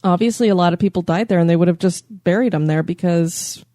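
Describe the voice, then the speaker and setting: A woman hosting a podcast in casual chat, chatty and quick to laugh. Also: lowish voice, dark tone, dry recording, clean studio sound. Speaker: podcast host.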